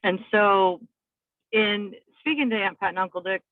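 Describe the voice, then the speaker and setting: A woman's voice: mid-range voice, no echo. A woman guest telling her life story in an interview, hesitant and full of fillers. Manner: halting, with many fillers and restarts. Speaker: podcast guest. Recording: tinny phone-like remote line.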